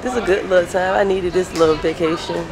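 A woman co-hosting a travel vlog outdoors, playful and relaxed as she talks to viewers. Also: fairly low pitch, fast, background noise. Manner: laid-back, playful, addresses viewers. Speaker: vlog co-host. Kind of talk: casual travel vlog chat. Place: outdoors near horses. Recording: loud music and crowd behind.

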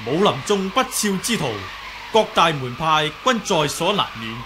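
An actor playing a polite, confident young martial arts hero with a Hong Kong Cantonese accent in a 1980s radio drama. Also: male voice, on the high side, sing-song, a little slow. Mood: calm resolve. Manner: polite, earnest. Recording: noisy old radio drama recording.

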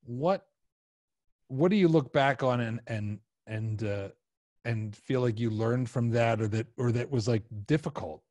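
Halting male podcast host, dry close sound. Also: medium pitch, dark tone, dry recording. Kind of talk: casual conversational interview. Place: small home studio room, indoors. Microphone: close podcast microphone on a video call.

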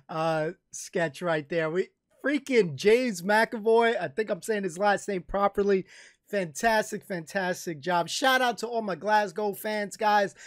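A man, giving animated, casual reaction commentary as a video host. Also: high voice. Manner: animated, effusive. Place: small room at home, indoors.

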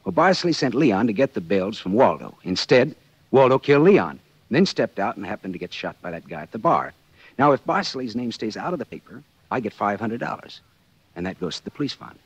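An actor playing a sardonic, glib American hard-boiled private detective in 1940s radio style. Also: male voice, on the low side, big pitch swings, fairly quick, breathy edge, dry recording, heavily acted. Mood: businesslike. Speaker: lead actor and narrator. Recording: muffled, lo-fi 1940s radio recording.

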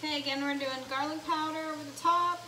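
A woman's voice: high-pitched, fairly even intonation, fast, noisy background. A young woman talking through her meal prep step by step while she cooks in her home kitchen, picked up by a camera across the counter. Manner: practical, step-by-step, while cooking. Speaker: home cooking vlogger. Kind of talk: casual cooking narration. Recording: echoey kitchen, distant mic, loud sizzling. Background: frying and sizzling food.

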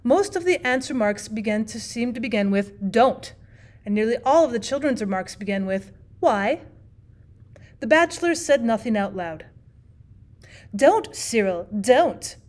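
A woman with a slightly high voice narrates an audiobook. She reads from a script as a teacher would when reading aloud to a class. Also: expressive, a little fast, hardly any pauses.

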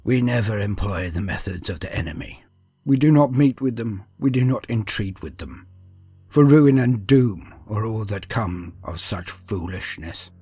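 A man voicing a stern old master wizard who pontificates in a pompous, deliberate, admonishing way. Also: on the low side, darkish tone, slightly rough, heavily acted. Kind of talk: dark fantasy audio story.

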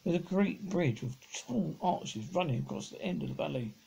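A middle-aged man reads a children's novel aloud in a raspy, mellow voice. His pitch sits a little high and swings about freely. The delivery is halting, with stumbled and repeated words. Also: lively intonation, a little hiss or hum. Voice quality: husky, gravelly. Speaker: amateur audiobook reader. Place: living room at home, on a sofa.

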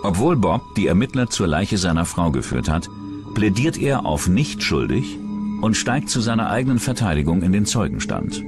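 A man with a low voice delivers scripted true-crime documentary narration. His pitch moves in lively swings, and music plays clearly behind him. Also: normal speed.

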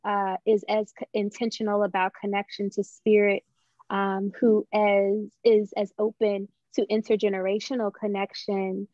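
A young woman, a playwright, answering thoughtfully in an interview on a video call, with measured pauses and dull audio. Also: mid-range voice, dry recording. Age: young adult. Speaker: playwright guest.

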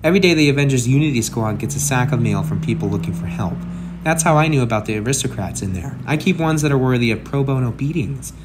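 A man's voice: mid-range voice, lively intonation, fast talker, over loud music, lightly acted, rough recording. A man playing a brash, sarcastic masked antihero with a kind streak, in a theatrical comic book dub. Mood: brash, confiding.

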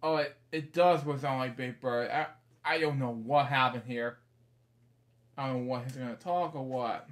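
A man's voice: medium pitch, moderate pace. A man in his thirties reading a picture book aloud in a small bedroom, rambling with casual asides and stock phrases.

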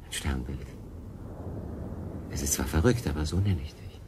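An actor playing a bewildered, stranded lone sailor in a radio play, halting and doubting his own sanity. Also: rumbling low, noisy background. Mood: wondering, pensive. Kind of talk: German radio drama acting.

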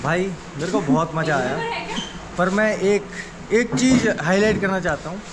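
A man's voice: high voice, moderate pace, very noisy. A young man chatting on camera under an outdoor shelter, earnestly pleading a complaint. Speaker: friend on trip. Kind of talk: casual chat.

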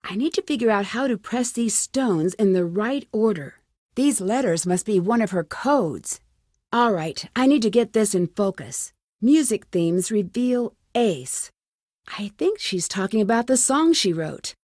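A woman's voice: medium pitch, animated, a little slow, a little mellow, dry recording. A plucky curious girl detective in a pc game, talking herself thru clues, earnest, dry studio sound. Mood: musing. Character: inquisitive, plucky young female amateur sleuth. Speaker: lead character in a detective adventure game. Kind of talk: scripted game dialogue, thinking aloud.

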